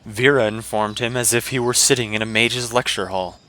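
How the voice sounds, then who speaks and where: A man's voice: on the low side, steady tone, brisk, bright, forceful tone, a little hiss or hum. A man giving scripted narration of a fantasy adventure story.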